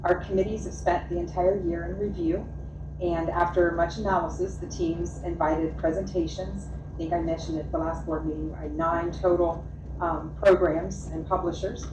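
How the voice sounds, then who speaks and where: Businesslike woman, low voice, echoey room. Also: level tone. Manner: measured, businesslike. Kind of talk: meeting report. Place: meeting room. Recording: echoey room, far mic, noisy.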